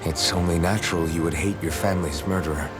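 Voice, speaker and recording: An actor playing a gentle, idealistic young swordsman in a video game, speaking with measured, sincere reassurance. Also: low voice, fairly even intonation, over music, lightly acted. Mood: gentle, reassuring. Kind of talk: video game cutscene dubbing.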